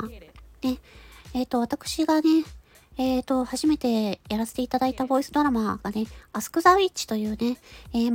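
A woman with a nasal, stuffy, cute anime-like voice chats casually and politely to listeners in short, halting phrases. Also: sits high, no echo. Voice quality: cute, cartoon-like voice. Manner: polite, giggly, halting phrases ending in a soft filler. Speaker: amateur voice actor hosting a personal audio channel.

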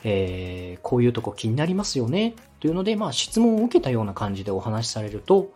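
A man hosts a podcast as an instructor, with a slightly husky voice and animated intonation. He explains things politely and step by step, over faint background music. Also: medium pitch, fast. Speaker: podcast host and radio director. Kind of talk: explanatory coaching talk on a podcast.

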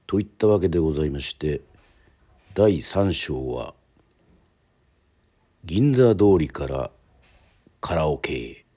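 芝居がかったラジオドラマの語り口で、あらたまった口上のように話す男性のナレーター。. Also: male voice, rumbling low, lively intonation, warm tone, husky. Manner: mock-formal, drawn-out announcer phrasing. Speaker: narrator, storyteller. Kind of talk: theatrical radio drama narration.

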